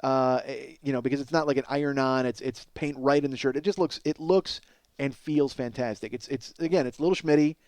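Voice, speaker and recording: A man's voice: medium pitch, fairly even intonation, fairly quick, darkish tone, no echo. A man in his forties hosting a comedy podcast, rambling through casual stories in a profane, self-deprecating, emphatic way. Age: 40s. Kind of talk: rambling comic monologue, casual storytelling.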